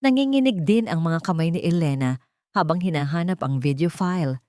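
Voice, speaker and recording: A woman narrates a dramatic love story from a script. Her voice is low and highly animated in pitch. The recording is close and dry, with no room sound. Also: moderate pace, dry recording.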